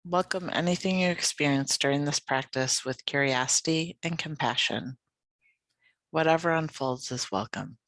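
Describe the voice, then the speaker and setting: A yoga nidra guide leading a guided meditation in a gentle, soothing, unhurried manner. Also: medium pitch, no room sound.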